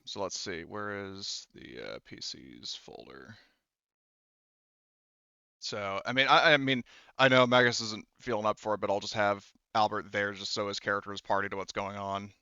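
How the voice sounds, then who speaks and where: A man acting as game master of a tabletop roleplaying game, explaining things conversationally in a halting, thinking-aloud way with trailing asides. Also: on the low side, vocal fry, pushed and bright, smooth, dry recording, lo-fi sound.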